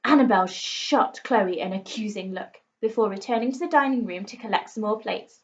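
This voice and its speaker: A woman reading a children's story aloud with expressive narration, like a teacher to pupils. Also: mid-range voice, normal speed, muffled recording.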